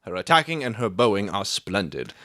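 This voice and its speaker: Animated man over clear background noise. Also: lowish voice, brisk. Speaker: voice performer rehearsing lines. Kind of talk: casual banter and retakes of scripted lines. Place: recording studio.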